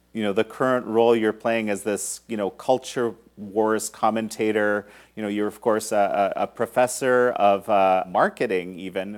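A man hosting an interview podcast, asking rambling questions with many fillers. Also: lowish voice. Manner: hesitant, filler-heavy questions. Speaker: podcast host. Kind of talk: interview hosting and read sponsor ad.

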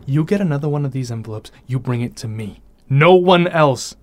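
A man's voice: medium pitch, animated, fairly quick, close to an everyday voice. A man playing a pushy, ambitious newspaper reporter in a radio drama, smug and bossy. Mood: threatening.

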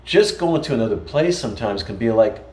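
An American man in casual conversation, telling stories in an animated way with frequent restarts. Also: mid-range voice, fairly quick. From United States. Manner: storytelling, animated, many restarts. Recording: echoey room.

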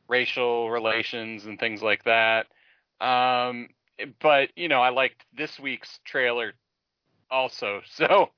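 A man's voice: mid-range voice, smooth, no echo, highs cut off. A man giving his opinions casually as a podcast panelist. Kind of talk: casual discussion.

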